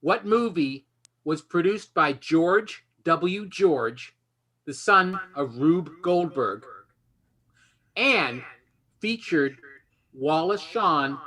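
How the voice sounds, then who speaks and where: A man with a high, bright voice hosts a quiz show. He reads questions to the players and trades playful, teasing banter with them. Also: animated, very slow. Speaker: game show host.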